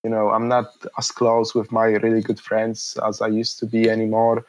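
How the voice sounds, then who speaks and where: A man's voice: fairly low pitch, completely flat delivery, moderate pace, poor audio. A man in his thirties from Poland, Polish his first language, answering interview questions in a hesitant, reflective way with many fillers and restarts.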